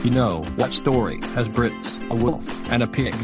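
A man's voice: mid-range voice, moderate pace, over loud music, close to an everyday voice. A man playing a friendly, earnest young host of a children's cartoon, speaking simply as if reading a storybook. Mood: encouraging.

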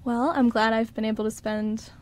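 A woman's voice: on the high side, steady tone, normal speed. A young woman on a radio show, talking casually with her friends. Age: young adult. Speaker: student radio show writer and actor. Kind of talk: casual group chat.

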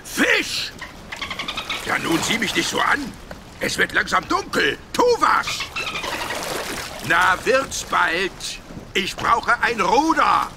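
A man's voice: high-pitched, big pitch swings, slow pace, gravelly, pauses often, noisy background, heavily acted. A man playing a dim-witted, whiny, bossy castaway adrift at sea in a children's audio drama. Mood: bossy, impatient.

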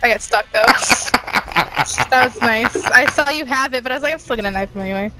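A woman's voice: a little high, a little fast, over music. A woman in her early twenties chatting playfully with teammates over a headset during an online game. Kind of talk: casual gaming banter.

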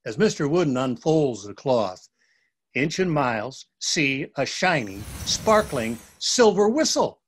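A man's voice: mid-range voice, very animated, a little slow, slightly rough. A man in his sixties, an author reading scripted narration for a children's story.

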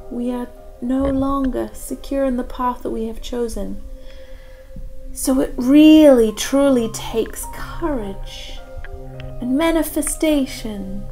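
A woman vlogger narrating reflective, poetic lines in a soft, measured voice-over. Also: on the high side.

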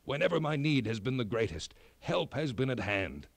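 A man's voice: mid-range voice, sing-song, normal speed, creaky, no room sound. A man voicing a good, wise old king in a storybook, speaking earnestly and with some drama. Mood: troubled. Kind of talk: storybook character acting.

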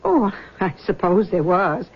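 A woman's voice: on the low side, expressive, unhurried, husky, full character voice. Meddling mother, dull recording. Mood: sheepish. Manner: coaxing, pointed, insistent. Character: doting, meddling, disapproving middle-aged mother. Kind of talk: 1970s radio drama acting. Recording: dull vintage radio recording.

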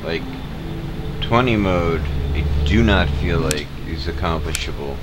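A man's voice: deep voice, lively intonation, measured pace, heavy background noise. A man giving casual gameplay commentary, muttering to himself as he plays a video game. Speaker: gaming video host. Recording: game audio loud behind the voice. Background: loud video game music and sounds.

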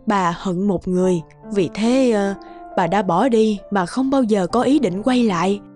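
A woman voicing a worried middle-aged mother in an animated folk tale, speaking in a measured, grave way over music. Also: medium pitch, voice pushed down, lightly acted. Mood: grave, sorrowful. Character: worried middle-aged mother recounting a sad family past. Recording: music under the voice.

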